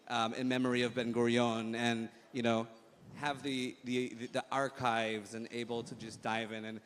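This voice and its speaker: An American man hosts an interview on a conference stage, his talk rambling and halting, full of fillers. His voice sits at an ordinary middle pitch. The sound carries a long hall reverb with faint noise underneath. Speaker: host.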